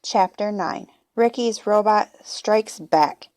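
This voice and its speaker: A woman reads a children's storybook aloud. Her voice sits at a middle pitch, and she delivers it as a storyteller narrating a chapter book for young listeners. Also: takes it slowly, a little mellow, dry recording.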